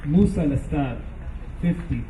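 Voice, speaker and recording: A man at a vigil solemnly reading out a list in a measured way, heard from a distance in a noisy, echoing recording. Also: a little high, animated, dark tone. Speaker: reader of victims' names at a vigil.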